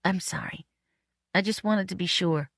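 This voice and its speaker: A woman's voice: fairly low pitch, moderate pace, gravelly, no echo, studio quality. A woman voicing a girlish, anxious film actress with a hesitant, slangy, old-fashioned way of talking in an audiobook. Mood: softened.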